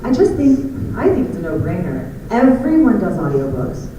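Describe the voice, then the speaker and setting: A woman's voice: lowish voice, big pitch swings, normal speed, highs cut off. A woman playing a reasonable, persistent author, earnest and conversational in a live audio drama. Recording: echoey hall, distant mic, clear noise.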